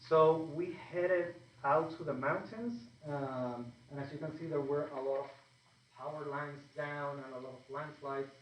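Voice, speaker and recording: A man with a Puerto Rican accent speaks to a packed event room, pausing often between phrases. He sounds far from the mic, and the hall echoes around him. A faint noise sits underneath. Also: on the high side. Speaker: panel moderator. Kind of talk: public talk to an audience. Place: large event room with an audience. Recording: echoey room recorded from afar.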